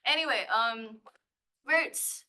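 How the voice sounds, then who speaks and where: A woman's voice: on the high side, slow, sharp tone. A young woman from Thailand giving a live science-writing presentation, nervous and giggly, with a playful manner. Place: lecture room.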